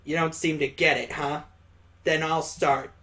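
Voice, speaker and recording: A man's voice: a little high, level tone, a little slow, bright, forceful tone. A man voicing a plucky young daughter in a visual novel, frank and affectionately scolding. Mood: fond, earnest. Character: plucky, teasing young daughter of a weary father.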